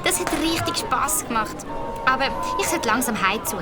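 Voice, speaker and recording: A bubbly Swiss German girl speaks in a high, bouncy voice. A loud crowd and music fill the background. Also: lively intonation, normal speed. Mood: cheerful. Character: cheerful young girl.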